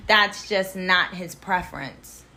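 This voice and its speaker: Bright animated young woman. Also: on the low side, takes it slowly. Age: young adult. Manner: animated and emphatic. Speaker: daughter. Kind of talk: casual conversation.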